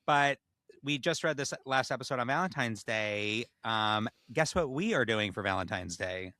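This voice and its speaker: Young guy podcast cohost, bright voice, playful and chatty, super dry close sound. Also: medium pitch, animated, moderate pace, no room sound. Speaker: podcast co-host. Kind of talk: casual chat.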